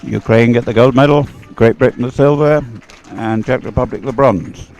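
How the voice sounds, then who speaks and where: A man's voice: mid-range voice, normal speed, darkish tone, muffled recording. A man giving live race commentary in a conversational, hesitant way, thinking aloud as he goes. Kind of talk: live sports commentary, unscripted. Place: commentary room overlooking the course.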